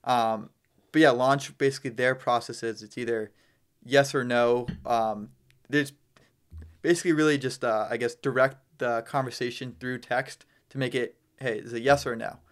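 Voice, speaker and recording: A man's voice: mid-range voice, steady tone, moderate pace, smooth. A man with a mid-range voice speaks as a guest in a casual podcast chat. He explains things loosely and informally, peppering his sentences with slang and filler. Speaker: podcast guest, real estate business owner.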